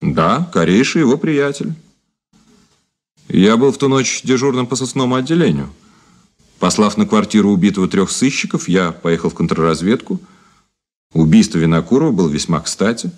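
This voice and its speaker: A male actor playing a cynical, mocking former detective officer in a Soviet radio drama, with a theatrical, measured delivery. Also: on the low side, animated. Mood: grave, measured.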